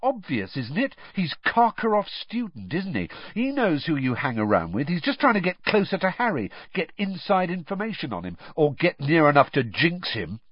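A man reading as a jealous teenage boy, scathing and nasty in every word. His voice sits raised above a plain man's, a little husky, on a dry, dull recording. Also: a little high, wildly swooping pitch, normal speed, hardly any pauses, dry recording, voice pushed up, exaggerated voice. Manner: scathing, sneering.

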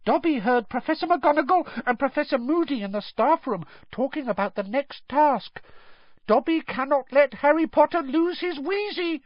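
A narrator voicing a small male house-elf servant, squeaky, earnest and deferential, in an audiobook style. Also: expressive, moderate pace, no echo, dull audio. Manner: speaks of himself in third person, deferential, broken grammar. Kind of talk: audiobook character voice.

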